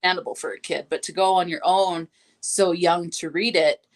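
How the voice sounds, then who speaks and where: A woman with a slightly low voice co-hosts a relaxed book chat. She talks reflectively and a little tentatively, working through her thoughts in an everyday conversational style. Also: a little fast. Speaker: co-host. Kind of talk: casual book discussion on a live stream.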